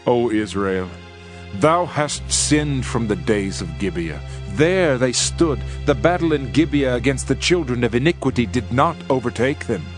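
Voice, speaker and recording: A man's voice: mid-range voice, lively intonation, unhurried, faint music behind. A man giving a solemn, declamatory dramatized reading of King James scripture as narrator.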